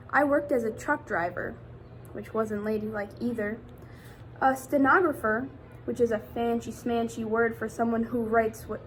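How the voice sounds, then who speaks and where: A woman's voice: a little high, a little hiss or hum, rough recording. A woman reading a picture book aloud to children, expressively, addressing them directly with questions like a teacher.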